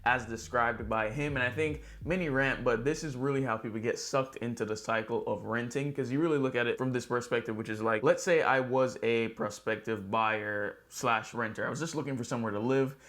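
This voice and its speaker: A man with a mid-pitched voice presents a local news recap as a vlog host. He talks like an upbeat real estate agent, chatty and conversational. He addresses his viewers directly. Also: fairly even intonation, fairly quick, hardly any pauses, a bit bright.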